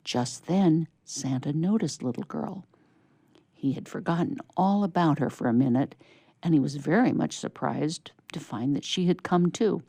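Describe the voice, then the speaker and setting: A woman reading a scripted children's story aloud in a measured way, as if to young listeners. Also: sits low, vocal fry, mellow, no echo. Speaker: story reader.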